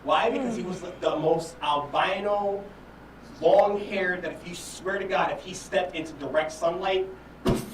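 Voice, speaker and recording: A young man from Orlando telling stories stand-up style in an animated, slangy, profane way. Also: high voice, moderate pace, a little hiss or hum. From Orlando, Florida, United States. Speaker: stand-up comedian, guest. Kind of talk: stand-up storytelling and casual banter. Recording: echoey room, distant mic, crowd.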